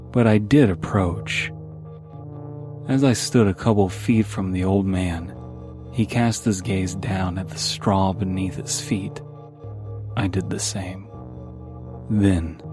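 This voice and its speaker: A man with a fairly low voice narrates a creepy story in the first person. It is a scripted horror reading, with music playing faintly behind him. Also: darkish tone. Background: background music.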